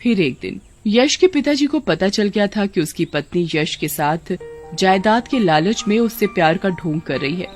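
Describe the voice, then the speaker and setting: A woman's voice: medium pitch, lively intonation, moderate pace, soft background music. A woman giving scripted narration for a children's cartoon story.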